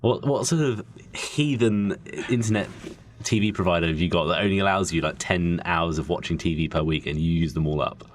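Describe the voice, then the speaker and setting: A man's voice: low voice, brisk, a little hiss or hum. A man co-hosting a podcast, chatting casually and jokily. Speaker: podcast co-host. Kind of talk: casual comedic banter.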